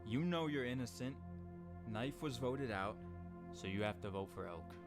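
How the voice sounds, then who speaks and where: A man with a medium-pitched voice lays out his reasoning step by step, assertive and persuasive, as in a social-deduction game. Loud music plays behind him. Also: animated, normal speed.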